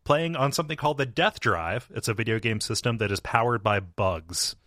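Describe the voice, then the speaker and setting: A man co-hosting a casual game podcast, hesitant with restarts and quick laughs. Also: medium pitch, expressive, bright, forceful tone, no echo. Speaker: podcast co-host.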